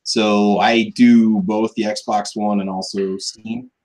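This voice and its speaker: A man's voice: fairly low pitch, flat delivery, slow pace, darkish tone. A man co-hosting a live food review stream, chatting in a laid-back, easygoing way, laughing often and trailing off. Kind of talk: casual live-stream chat.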